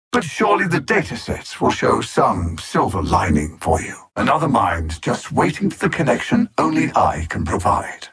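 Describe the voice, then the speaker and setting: An actor voicing an arrogant alien supervillain mastermind in a video game, coldly mocking, condescending, with precise formal wording. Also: male voice, mid-range voice, a bit bright, slightly rough, heavily acted. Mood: smug contempt. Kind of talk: theatrical video game villain dialogue.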